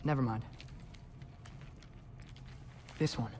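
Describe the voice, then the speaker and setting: A man's voice: mid-range voice, quick pace, dark tone, lightly acted. An actor playing an earnest, impulsive teenage boy in a video game, speaking hesitantly and with compassion. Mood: somber. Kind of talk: naturalistic video game acting.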